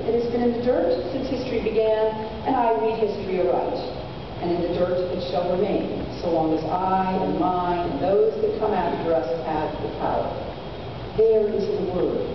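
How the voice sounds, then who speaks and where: A woman's voice: on the low side, lightly acted. Echoey woman reading as a cold, ruthless male oligarch. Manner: cold, dispassionate, deliberate oratory. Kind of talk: dramatic literary reading. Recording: echoey hall, distant mic, muffled, noisy.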